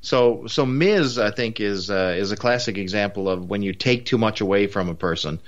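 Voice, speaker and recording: A man's voice: lowish voice, normal speed. A man from Newfoundland with a Newfoundland accent, chatting casually on a podcast in an opinionated, rambling way. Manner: opinionated, rambling, frequent fillers. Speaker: podcast co-host. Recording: muffled lo-fi audio.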